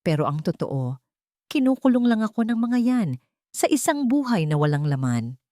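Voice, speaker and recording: Romance audio story role: earnest rich suitor read by a woman, heartfelt, mellow, expressive pitch. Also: moderate pace, dry recording, natural voice. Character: earnest, tender male billionaire confessing his love.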